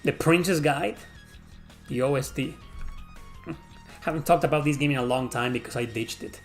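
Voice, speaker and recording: A man's voice: fairly high pitch, moderate pace, soft background music. Profane Mexican-accented man answering questions. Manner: blunt and profane, rambling with fillers. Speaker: YouTube host answering viewer questions. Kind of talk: casual Q&A chat.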